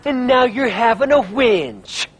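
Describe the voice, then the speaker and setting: A man's voice: extremely high pitch, takes it slowly, husky, heavily acted. A man playing a cocky, intense extreme sports bro in a video game, boastful and goading in his talk. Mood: boastful, irritated. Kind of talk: video game cutscene dialogue.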